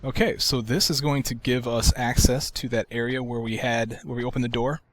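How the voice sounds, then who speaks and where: A man giving casual, relaxed commentary over a video game walkthrough, with a soft, soothing way of talking. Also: mid-range voice, brisk, a little hiss or hum. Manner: relaxed, soft-spoken, self-described soothing voice.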